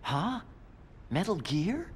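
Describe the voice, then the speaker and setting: A man's voice: high-pitched, very animated, slow, slight background noise, heavily acted. An actor playing a nervous, naive, guilt-ridden American scientist in a dramatic video game cutscene, earnest and anxious as he explains. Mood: puzzled, defensive. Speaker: chief engineer character in a video game. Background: music.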